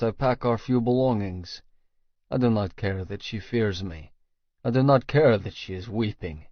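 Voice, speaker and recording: A man's voice: mid-range voice, dry recording, lo-fi sound. A man narrating first-person fiction as an audiobook reader, with a grave, controlled delivery.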